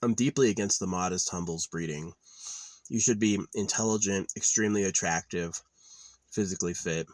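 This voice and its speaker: A young man with a very low voice rambles on in an offhand, meandering way, often trailing off into filler. He's outdoors at a quiet campsite. The sound is dry and close, with little room around it. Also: normal speed, dry recording. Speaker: vlogger. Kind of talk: rambling casual monologue.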